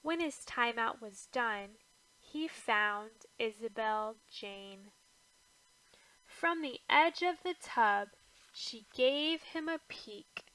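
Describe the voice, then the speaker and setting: A woman with a bright, fairly high voice reads a children's storybook aloud. She takes her time, shaping the rhyming verse with a measured rhythm and expressive phrasing. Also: clear, resonant tone, rough recording. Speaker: storybook reader. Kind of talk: scripted read-aloud of a rhyming children's picture book.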